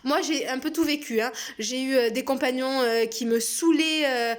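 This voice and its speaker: A woman in her thirties with a French accent talks to her viewers in a loose, frank monologue. Her voice sits high, and she often pauses on fillers before going on. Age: 30s. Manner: chatty and frank, many hesitations and fillers. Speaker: personal development vlogger. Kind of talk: casual spoken monologue.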